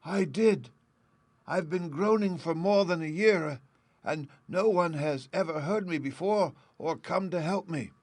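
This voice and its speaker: A man voicing a polite, grateful male tin woodsman in a storybook, in a high, strained, slow and sad tone. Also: darkish tone, no room sound. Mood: plaintive.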